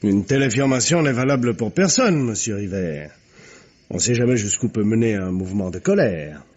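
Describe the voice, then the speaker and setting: An actor playing a formal, sceptical examining magistrate in a radio mystery, questioning with authority. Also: male voice, lowish voice, lively intonation, fairly quick, a little mellow. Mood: probing. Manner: formal, interrogating, clipped. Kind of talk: French radio mystery drama acting. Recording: dull, muffled old radio recording.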